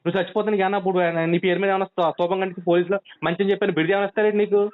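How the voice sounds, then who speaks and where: A man with a coastal Andhra Telugu accent speaks at a racing pace over a thin phone line. His voice sits high for a man, and he keeps urging and scolding insistently, repeating his points. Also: level tone, rapid-fire. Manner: insistent, admonishing, repeats himself. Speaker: friend on a phone call. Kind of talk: urgent, pleading phone conversation. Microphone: telephone. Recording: tinny narrowband phone call.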